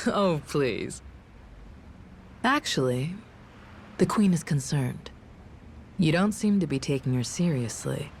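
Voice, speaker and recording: A woman's voice: deep voice, slight background noise. An actress playing a cool, menacing envoy of a pirate queen in a video game, poised and sardonic. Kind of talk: video game dialogue acting. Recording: loud music bed behind voice.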